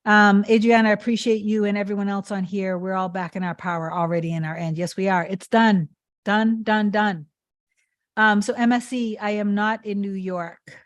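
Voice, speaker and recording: A woman's voice: medium pitch, fairly even intonation, normal speed, muffled recording. A woman coaching on a live stream, answering chat comments in an earnest, emphatic way and often repeating herself. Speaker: manifestation coach.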